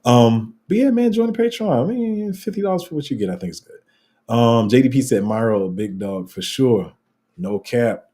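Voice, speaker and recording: A man with a deep, rumbling voice hosting a casual livestream, reading out viewer comments and rambling along with sudden excited jumps in pitch and a slight cough. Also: normal speed. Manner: rambling and animated, voice jumping up when excited. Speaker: crypto livestream host.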